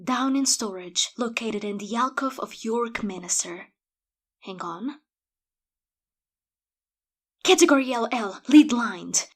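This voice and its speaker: World-weary veteran agent, husky, fairly high voice, deadpan, clipped, dryly sardonic, radio drama. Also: female voice, slow pace, long pauses. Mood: intent. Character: jaded, terse female time-agent veteran, dryly sardonic. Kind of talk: audio drama dialogue.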